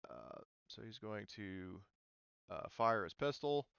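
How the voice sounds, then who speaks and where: A man with a lowish, smooth voice runs a tabletop roleplaying game. He explains rules in a casual way as game master. The audio is dry but a little dull. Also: expressive, takes it slowly, a bit bright, no echo.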